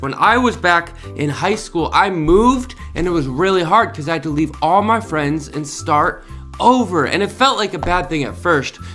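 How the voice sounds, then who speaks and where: A man's voice: on the high side, fairly bright tone. A man hosting a children's read-aloud, speaking expressively and directly to young listeners. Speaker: host reading children's books aloud. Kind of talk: animated read-aloud storytelling for kids.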